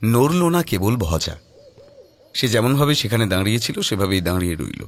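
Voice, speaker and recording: A man's voice: fairly low pitch, sing-song, normal speed. A man narrating a scripted horror story in a dramatic manner.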